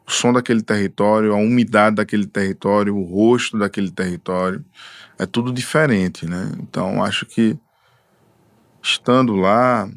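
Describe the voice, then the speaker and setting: Male guest musician, low voice, reflective and unhurried, dry studio sound. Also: dry recording. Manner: unhurried, thoughtful, trailing fillers. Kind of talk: reflective casual conversation.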